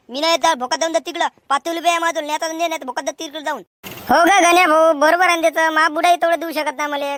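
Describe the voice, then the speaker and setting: A performer voicing a brash, swearing village young man with a Vidarbhi Marathi accent, in a rapid, scolding style. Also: very high voice, a bit bright, dry recording. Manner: rapid, scolding, abusive banter. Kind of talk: cartoon comedy voice acting.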